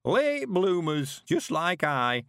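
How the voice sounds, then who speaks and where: A man voicing a frail ninety-one-year-old rustic man in an audiobook, speaking slowly in an old country dialect, eager to go courting. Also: on the high side, sing-song, fairly bright tone, no echo, studio quality, voice pushed up, exaggerated voice. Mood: pleased. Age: 90s. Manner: slow, creaky rustic dialect.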